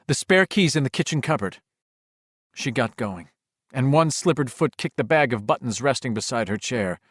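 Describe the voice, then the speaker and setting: A man's voice: medium pitch, no echo. Dry, close male horror audiobook narrator. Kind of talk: dramatic scripted horror narration.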